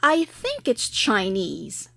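A woman's voice: medium pitch, wildly swooping pitch, gravelly, bright, forceful tone, no echo, studio quality. Woman reading exam dialogue lines. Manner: slow, careful textbook reading. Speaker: listening test reader. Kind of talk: scripted listening exam dialogue.

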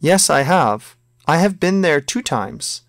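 A man reading a scripted listening exam, both the dialogue lines and the numbered questions, in a slow, careful textbook manner. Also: fairly high pitch, expressive, vocal fry, fairly bright tone, no echo, studio quality. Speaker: listening test reader.

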